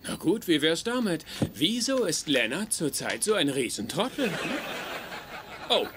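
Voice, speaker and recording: A teasing, sleazy young male nerd from a sitcom. His sarcastic delivery is animated, at a middling pitch, against loud background noise. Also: measured pace. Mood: mocking. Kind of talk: German-dubbed sitcom acting.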